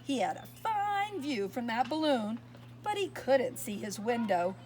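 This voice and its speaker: A woman reading a picture book aloud to young children, expressive and pausing to ask her listeners questions. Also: on the high side. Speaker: storyteller.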